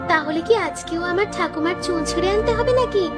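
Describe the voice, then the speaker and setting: A woman's voice: extremely high pitch, fast talker. A woman playing a crafty, pert young female swindler who grumbles, in a Bengali cartoon. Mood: grumbling. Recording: loud music behind the voice.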